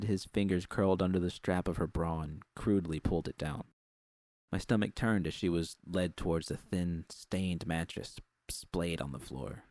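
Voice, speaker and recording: A man's voice: low-pitched, a little mellow, no room sound. A man reading a scripted first-person story as narrator.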